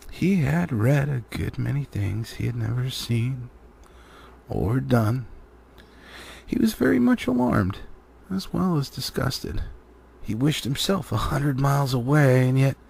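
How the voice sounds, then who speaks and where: A man narrating a storybook expressively, with dramatic pauses and drawn-out phrasing. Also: medium pitch, vocal fry, darkish tone, breathy edge. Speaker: audiobook reader.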